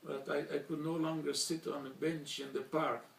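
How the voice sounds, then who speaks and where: Older man from Slovakia, German his first language, deliberate formal speaker, slightly high voice, recorded from afar in an echoey hall. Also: flat delivery, creaky, distant mic, slight background noise. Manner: measured, deliberate, with frequent pauses and restarts. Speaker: speaker recounting childhood memories. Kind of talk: formal talk.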